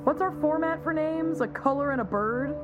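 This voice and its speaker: An actress playing an exasperated, dry-witted young sister who runs comms for a superhero in an audio drama, sardonic and deadpan. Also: female voice, sits high, moderate pace, muffled recording, close to an everyday voice. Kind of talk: acted dialogue. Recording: loud music behind the voice. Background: loud music.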